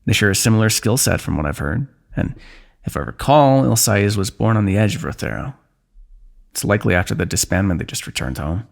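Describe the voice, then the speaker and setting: ASMR fantasy roleplay: male actor as a war-weary, dryly teasing fighter, deep-set voice with heavy creak, formal diction. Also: lively intonation, a little fast. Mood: grave, worried. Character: weary, wry fantasy warrior plotting against a kingdom. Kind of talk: ASMR fantasy roleplay, one-sided dialogue.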